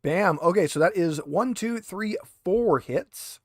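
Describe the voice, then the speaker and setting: A man's voice: high voice, expressive, a little slow, dry recording, clean studio sound. A man acting as game master, chatting casually and explaining the rules step by step. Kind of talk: casual tabletop game chat.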